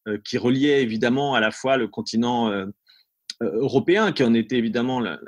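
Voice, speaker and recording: Husky man, dry sound, thinking aloud. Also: mid-range voice, fairly quick, no room sound. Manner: hesitant, repeating words, tagging phrases with "hein". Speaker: academic historian, interview guest. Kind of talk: unscripted analytical commentary.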